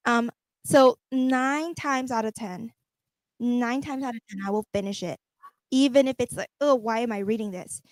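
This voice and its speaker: A woman's voice: a little high, normal speed, no room sound, rough recording. A woman chatting on a radio panel, telling stories in an emphatic, talkative way. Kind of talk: casual radio chat.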